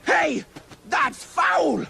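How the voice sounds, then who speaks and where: An actor playing an excitable, wisecracking sidekick in a video game, with a gravelly voice. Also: male voice, very high-pitched, measured pace, exaggerated voice. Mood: indignant. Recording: music behind the voice.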